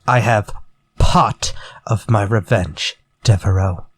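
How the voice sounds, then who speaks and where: An actor playing a vengeful, menacing ex-convict murderer in a retro radio drama, theatrical, taunting and deliberate. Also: male voice, lowish voice, breathy edge. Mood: gloating menace.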